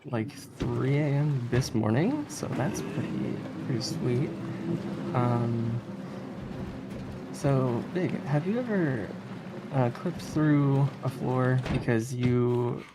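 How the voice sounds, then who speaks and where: Speedrunner commentating over clearly noisy audio. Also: mid-range voice, lively intonation, slow. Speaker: speedrunner commentating on their own game run. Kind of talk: casual live speedrun commentary. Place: live streamed event, voice over gameplay audio.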